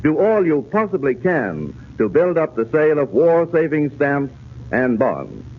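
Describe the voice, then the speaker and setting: A man's voice: a little high, wildly swooping pitch, measured pace. A man making a folksy, earnest appeal to young listeners in 1940s radio style. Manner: folksy, earnest, direct address. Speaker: radio pitchman addressing children. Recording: tinny, noisy 1940s radio broadcast.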